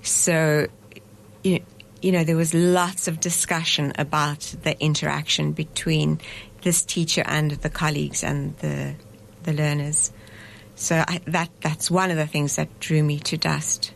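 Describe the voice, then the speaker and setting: Thoughtful woman, low voice. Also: flat delivery, unhurried, gravelly, slight background noise. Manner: thoughtful, hesitant with fillers and restarts, laughs easily. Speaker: festival director, guest. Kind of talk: radio interview. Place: radio studio.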